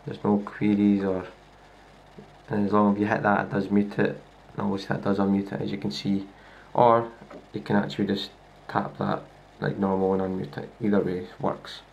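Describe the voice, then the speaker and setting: A Scottish man talks through a laptop demo in a low voice. His speech is flat and gravelly, and he stops often for fillers and restarts. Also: moderate pace, lo-fi sound, slight background noise. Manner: relaxed, with many fillers and restarts. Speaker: tech reviewer. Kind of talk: casual hands-on demo. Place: indoors at a wooden table. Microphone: handheld camera filming a laptop screen.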